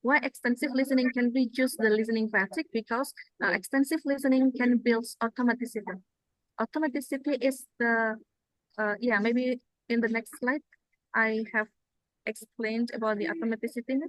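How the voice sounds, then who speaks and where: A woman with an Indonesian accent, a native Indonesian speaker, lecturing in a halting, explanatory way as she presents slides. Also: fairly high pitch, steady tone, no room sound, poor audio. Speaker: lecturer presenting at a language-learning seminar.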